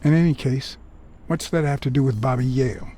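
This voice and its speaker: An actor playing a hard-boiled private detective, a wry, brooding cat who speaks in measured, terse phrases in a film noir game. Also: male voice, medium pitch, fairly even intonation, dark tone, slightly rough, natural voice. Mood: steady, terse. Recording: music behind the voice.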